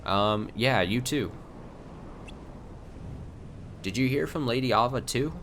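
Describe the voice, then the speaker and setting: A man's voice: mid-range voice, normal speed, fairly bright tone, slight background noise. A man streaming live reaction commentary on game cutscenes, exasperated and sweary, often repeating his phrases. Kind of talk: live reaction commentary, reading subtitles aloud.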